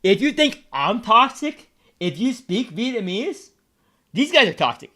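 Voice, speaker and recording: Young man, casual streamer, provocative and crude, high voice that swings a lot. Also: big pitch swings, unhurried, pushed and bright. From United States. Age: young adult. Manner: brash, joking, crude and provocative.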